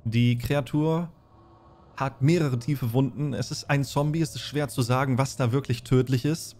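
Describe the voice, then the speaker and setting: A man acting as game master, narrating a tabletop fantasy horror game in a deliberate, descriptive way. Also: medium pitch, steady tone, darkish tone. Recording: music under the voice. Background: background music.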